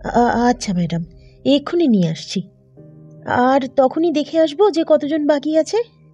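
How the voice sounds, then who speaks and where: A woman's voice: high-pitched, unhurried, warm tone, faint music behind. A woman playing a chatty, gossipy clinic helper in an audio drama, rambling and deferential, on a muffled recording. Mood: eager, flustered. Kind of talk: audio story dramatic acting. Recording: muffled, dull lo-fi dry recording.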